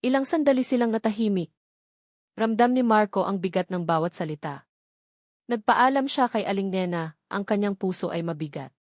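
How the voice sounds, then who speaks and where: A woman's voice: medium pitch, expressive, moderate pace, darkish tone, dry recording, clean studio sound. A woman reading a romance story as dramatic scripted narration.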